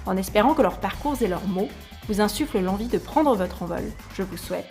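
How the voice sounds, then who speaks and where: A woman's voice: mid-range voice, expressive, brisk, darkish tone, faint music behind. A woman speaking as the host and interviewer of a podcast. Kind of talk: scripted podcast introduction and interview.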